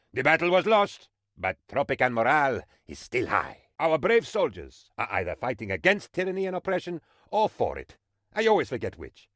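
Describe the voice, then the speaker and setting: Fawning, theatrical presidential advisor, wildly lively pitch. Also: male voice, no room sound, clean studio sound. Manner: theatrical, bumbling, eager to please. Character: fawning, excitable male presidential advisor in a tropical banana republic. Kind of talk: theatrical comic video game voice acting.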